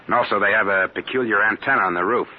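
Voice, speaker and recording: An actor playing a tough, stubborn private investigator with an American accent and blunt, slangy delivery in an old-time radio drama. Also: male voice, lowish voice, fast, natural voice. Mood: businesslike. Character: hard-boiled, stubborn male private investigator. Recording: muffled, noisy vintage radio recording.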